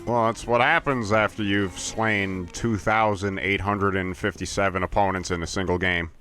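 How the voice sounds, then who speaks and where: A man's voice: on the low side, fairly even intonation, moderate pace, sharp tone. A man bantering casually and playfully as co-commentator on a video game playthrough. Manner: joking, playful. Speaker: let's play co-commentator. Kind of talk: casual banter over gameplay. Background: video game music.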